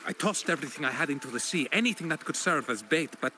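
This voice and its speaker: An actor playing an eccentric, dazed old fisherman in a video game, with theatrical, halting delivery full of exclamations. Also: a little high, expressive, a little hiss or hum. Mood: wistful, mysterious. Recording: loud music behind the voice.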